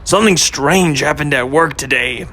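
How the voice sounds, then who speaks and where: A man's voice: a little high, normal speed, sharp tone, a little hiss or hum. A man playing an earnest, brooding young man. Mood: troubled. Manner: earnest, searching, hesitant. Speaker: boyfriend in second-person story.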